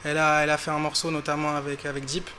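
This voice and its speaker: A young man from France talks fast about his music in an easygoing interview. His voice is bright and slightly high for a man, with faint hiss behind it. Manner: quick, relaxed, with many fillers. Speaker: singer. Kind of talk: interview answers.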